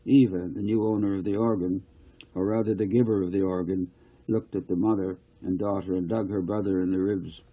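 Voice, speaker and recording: A man reading his own novel aloud as scripted audiobook narration, an unhurried storyteller who stumbles now and then. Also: low-pitched, fairly even intonation, slightly rough, narrow, tinny audio.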